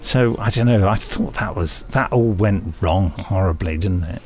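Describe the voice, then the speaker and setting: A man's voice: low voice, moderate pace, a little mellow, soft background music. A man co-hosting a podcast in casual, jokey chat, playfully stumbling over his words. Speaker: podcast co-host and actor.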